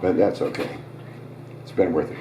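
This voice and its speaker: A man hosting a live reading, hoarse, telling his own short story in a relaxed, conversational way with small asides. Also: sits low, moderate pace, noisy background. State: hoarse, voice getting raspy. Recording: roomy, distant restaurant recording.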